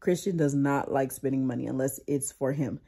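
A woman in her thirties who is sick and losing her voice, chatting casually to her vlog audience about her kids in an animated, talky way. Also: a bass voice, moderate pace, vocal fry, husky. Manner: chatty, animated storytelling with "like" and "y'all". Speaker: vlogger, mother. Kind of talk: casual chat to camera.

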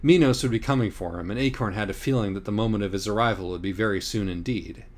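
A man reading scripted audiobook narration in a wry, deliberate, literary manner. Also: on the low side. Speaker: audiobook reader.

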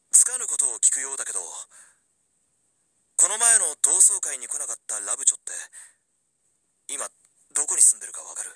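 A man playing a wistful young man pining for an old love, narrating a radio drama in a hushed, introspective way. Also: fairly high pitch, full character voice. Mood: casual, awkward.

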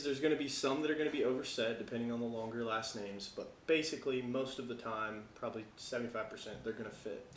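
An American man giving a casual software tutorial, talking informally and thinking aloud as he works. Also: mid-range voice, echoey room, slight background noise. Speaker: tutorial presenter.

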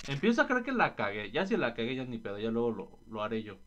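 A man's voice: medium pitch, wildly swooping pitch, fast talker, highs cut off, background noise. A young man with a Mexican accent giving casual, slangy, profane commentary on a video game. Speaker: gaming YouTuber.

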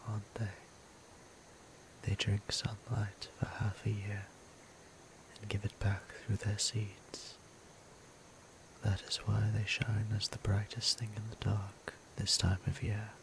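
A hushed, low male voice from an actor as an ancient vampire priest in an intimate audio roleplay. He speaks slowly and evenly, half whispering, with formal, tender care for the listener. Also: flat delivery, background noise. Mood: tender, softly awed. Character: gentle, courteous, centuries-old male vampire priest, tender caretaker.

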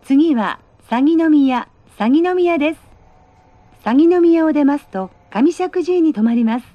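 A woman reading prerecorded train announcements in a polite, measured, precisely phrased manner. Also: sits high, lively intonation, dry recording. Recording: dull, band-limited train announcement audio.

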